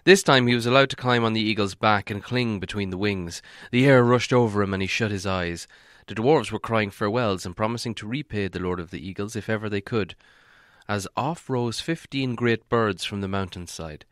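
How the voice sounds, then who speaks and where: A man narrating an audiobook in a measured storytelling way, softening on descriptive passages. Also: on the low side, no echo, studio quality. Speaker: audiobook reader.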